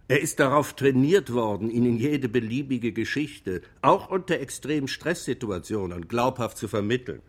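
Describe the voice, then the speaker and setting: A male actor as an insistent lawyer pleading in a radio drama trial. His delivery is rhetorical and deliberate, with strongly varied pitch that presses home each point. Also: natural voice. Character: emphatic, insistent male lawyer arguing in court. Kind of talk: radio drama courtroom speech.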